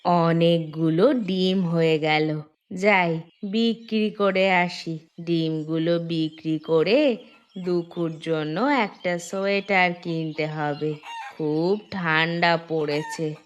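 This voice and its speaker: A woman's voice: fairly low pitch, slow pace, clear, resonant tone, muffled recording. A woman voicing a quarrelsome but kind elderly village aunt in a cartoon. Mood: matter-of-fact. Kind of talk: Bengali village cartoon dubbing. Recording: music behind the voice.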